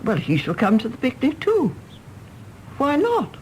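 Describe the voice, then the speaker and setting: A woman's voice: sits high, huge pitch swings, a little mellow, a little hiss or hum, full character voice. Bossy, swooping elderly English mother. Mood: bossy, chiding. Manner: imperious, chiding, brisk with endearments. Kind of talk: stage-play radio drama acting.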